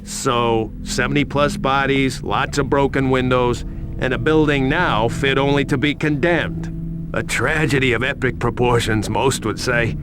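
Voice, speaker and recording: An actor playing a sardonic police detective in a video game, questioning a suspect with casual, wry sarcasm. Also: male voice, medium pitch, steady tone, a little slow, noisy background, natural voice. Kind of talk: video game cutscene acting.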